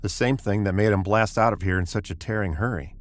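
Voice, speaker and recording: An actor playing a commanding spaceship captain, terse and grim, in the style of old-time sci-fi radio drama. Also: male voice, lowish voice, a little fast, lightly acted. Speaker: actor and story narrator.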